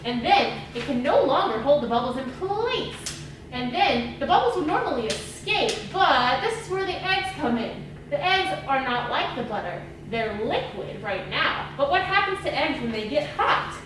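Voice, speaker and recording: A woman voicing a curious, excitable old scientist puppet, musing aloud and repeating words in a children's puppet show. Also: sits high, normal speed. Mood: excited explaining. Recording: echoey room, distant mic, noisy.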